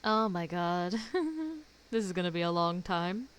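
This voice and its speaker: A woman streamer reacting casually to a video game, chatty and excitable. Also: fairly low pitch, a little slow, a little hiss or hum. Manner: chatty, excitable, laughs often.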